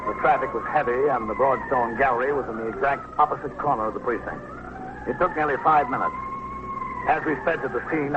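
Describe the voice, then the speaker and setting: Male police captain for vintage radio crime drama: clipped, authoritative, lively intonation, noisy dated recording. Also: mid-range voice, highs cut off. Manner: terse, matter-of-fact, authoritative. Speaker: actor. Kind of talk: 1950s radio drama acting and narration.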